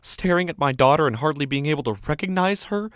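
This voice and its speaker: A man playing an anguished, guilt-ridden father in a halting, brooding horror monologue. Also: fairly high pitch, animated, fairly bright tone, no echo, studio quality. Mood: agitated, angry. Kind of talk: first-person horror drama monologue. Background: prominent music.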